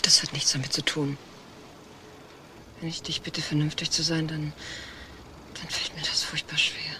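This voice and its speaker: A woman's voice: low voice, level tone, moderate pace, background noise, close to an everyday voice. An actress playing a married woman in a crime drama, guarded and hesitant, torn over a secret affair. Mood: torn, pleading. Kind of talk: German TV crime drama acting.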